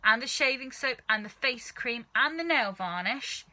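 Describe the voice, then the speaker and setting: A woman reads as an eager young boy from a storybook. The voice is very bright and crisp in edge, earnest and matter-of-fact, sitting fairly high. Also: expressive, normal speed.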